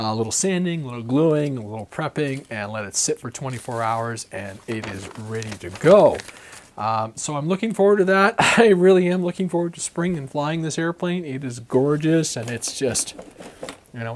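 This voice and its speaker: Rambling man getting over a cold. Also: medium pitch. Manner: rambling, lots of fillers and self-corrections. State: recovering from a cold, voice croaky at times. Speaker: RC hobby vlogger. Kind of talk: casual weekly update vlog.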